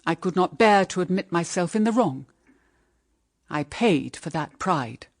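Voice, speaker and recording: A woman's voice: on the low side, animated, unhurried, no echo. An actress with a British accent playing a middle-aged widowed mother, solemn and anguished, in radio drama style. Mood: earnest pleading. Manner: solemn, intense, melodramatic. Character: anguished, bitter widowed mother pleading with her daughter.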